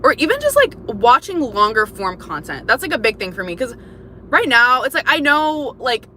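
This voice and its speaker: A woman's voice: a little high, very animated, moderate pace, pushed and bright, slight background noise. A young woman chatting casually on a livestream, rambling with lots of "like" and restarts. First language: English, also speaks Lithuanian. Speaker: YouTuber.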